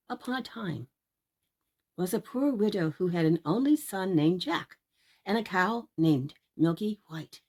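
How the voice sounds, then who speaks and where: A woman reading aloud as a children's storyteller, theatrical and deliberate, speaking directly to young listeners. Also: medium pitch, mellow. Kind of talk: expressive read-aloud storytelling.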